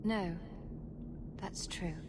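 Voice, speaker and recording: An actress playing a proud, contrite noblewoman in a video game, formal and measured, set on making amends. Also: noisy background. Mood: contrite, resolute. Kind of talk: video game cutscene acting.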